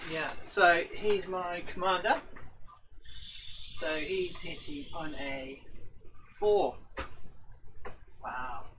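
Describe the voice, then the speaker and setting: A woman's voice: fairly low pitch, extremely slow, pauses often, far from the mic, noisy background. A woman with a picked-up Cockney accent, chatting and teasing during a tabletop game, laughing often. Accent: picked-up London Cockney. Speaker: co-player, guest painter.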